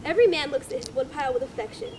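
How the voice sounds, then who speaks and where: A woman's voice: high voice, lively intonation, racing. A woman playing a skeptical male writer from long ago, teasing and formal in an amateur dramatic reading. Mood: wry. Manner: wry, teasing, formal old-fashioned speech. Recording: noisy amateur recording.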